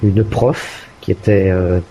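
A man chatting casually about learning a language, hesitant, with many restarts and fillers and the odd throat clearing. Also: low voice, steady tone, takes it slowly, dark tone, slight background noise, low-quality recording. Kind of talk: casual conversation.

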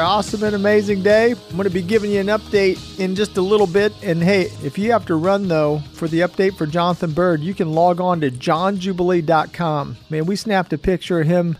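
A man hosting a motivational radio talk show, emphatic and upbeat, repeating phrases for effect. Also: high voice.

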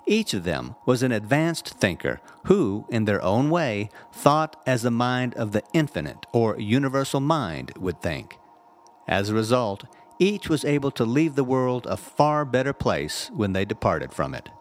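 A man reading a scripted inspirational spiritual text as a reverend, with measured, deliberate phrasing and emphatic pauses. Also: mid-range voice, big pitch swings, slight background noise.

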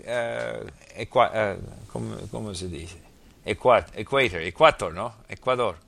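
A man whose first language is English, hosting in halting learner's Portuguese with Spanish slips and lots of fillers as he searches for words. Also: fairly low pitch, vocal fry. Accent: English-speaking learner of Portuguese, Spanish slips. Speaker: podcast host. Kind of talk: casual conversation.

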